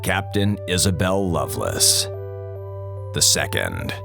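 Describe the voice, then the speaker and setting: Wisecracking space officer, excitable, pompous radio host, swooping delivery, low base pitch, audio drama. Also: male voice, slow, creaky, music in the background, heavily acted. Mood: grandly announcing. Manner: theatrical, pompous when announcing, flustered when talking. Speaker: co-host of an in-story radio show. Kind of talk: audio drama acting, mock broadcast narration.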